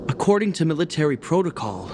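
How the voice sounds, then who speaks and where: An actor playing a stern, duty-bound young guard captain in a video game, speaking in a formal, commanding, solemn military way. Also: male voice, a little high, sing-song, fast talker, darkish tone, slight background noise. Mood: solemn, resolute. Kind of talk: dramatic video game voice acting.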